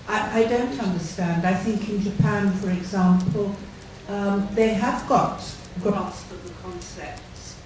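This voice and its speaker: A woman in the audience at an academic conference, raising a loud, direct question. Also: mid-range voice, fairly even intonation, takes it slowly, few pauses. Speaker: audience member asking a question. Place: conference hall. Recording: echoey hall, distant, noisy.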